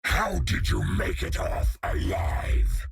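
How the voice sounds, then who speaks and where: An actor voicing a menacing alien symbiote monster in a video game, with a hissing guttural rasp and ominous formal speech. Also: male voice, slow pace, dry recording, shouting, clean studio sound, natural voice. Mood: hostile. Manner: ominous, formal, calls itself "we". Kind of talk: video game character lines.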